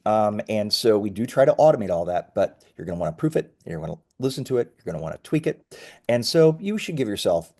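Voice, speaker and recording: A man's voice: fairly low pitch, very animated, fast, warm tone. A man speaking as a company founder in an interview, explaining conversationally with emphatic, self-correcting phrasing.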